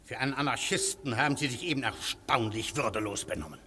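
A man's voice: medium pitch, fast talker, sharp tone, big-room sound, a little hiss or hum, close to an everyday voice. An actor playing the formal, commanding chairman of an anarchist council in a radio play.

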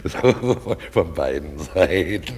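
Donkey-headed simpleton, extremely low voice, husky, pompous drawl, lazy and greedy, radio play. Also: male voice, expressive, slow, heavily acted. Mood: ticklish, delighted. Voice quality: gravelly, husky, very deep. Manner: drawling, self-satisfied, chuckling, muttering with repeated "ja". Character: weaver turned donkey-headed fool, smug, cosy, sleepy, greedy. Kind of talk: classic radio play comedy acting.

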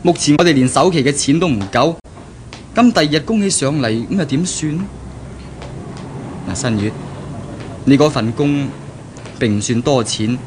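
Casting: man playing young male graduate fiancé, pragmatic and persuasive, medium pitch, 1970s radio drama with faint noise. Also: a little mellow, natural voice. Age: young adult. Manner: explanatory, earnest, persuasive.

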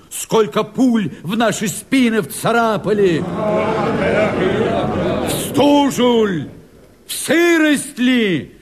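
Defiant Cossack fighter, high swooping declamation. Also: male voice, heavily acted. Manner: vehement, theatrical declamation with heavy stresses. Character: proud, battle-hardened Cossack fighter, male, defiant, anxious. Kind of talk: declaimed verse radio drama.

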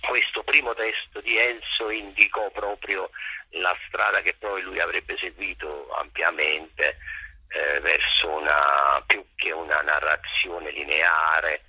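A raspy-voiced man explaining his work reflectively as a theatre director in an interview, halting and repeating phrases as he searches for words. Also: low voice, steady tone, dry recording. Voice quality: gravelly, raspy. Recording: dull lo-fi radio or telephone sound.

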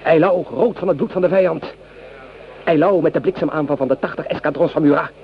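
A man's voice: on the high side, fairly quick, natural voice. A man playing an idealistic, patriotic young bourgeois soldier in a Dutch radio drama, declaiming rhetorically. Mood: rapturous declaiming. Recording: dull, noisy radio play recording.

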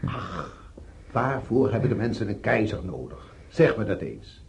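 An actor playing a scornful working-class socialist in a radio drama, arguing emphatically in short phrases. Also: male voice, lowish voice, big pitch swings, unhurried, a little hiss or hum. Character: scornful socialist working man, hotly political.

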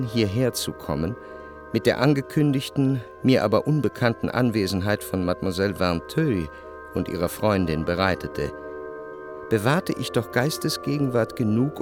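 An actor playing a pained, introspective gentleman narrator in a literary radio drama, measured and reflective. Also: medium pitch, natural voice. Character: pained, introspective gentleman recalling his jealous love.

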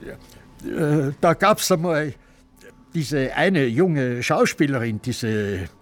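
An actor playing a forgetful, petty old Austrian film director, rambling and halting in a radio drama. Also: male voice, fairly high pitch, animated, soft background music. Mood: forgetful, muddled. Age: older. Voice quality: halting, hesitant delivery. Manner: confused, rambling, self-satisfied.

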